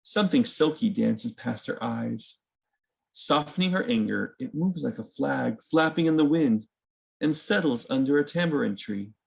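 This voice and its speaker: Guy doing a kids book read aloud, creaky gravelly voice, chatty explainer style, normal pitch. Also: lively intonation, normal speed. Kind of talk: children's picture book read-aloud with casual asides.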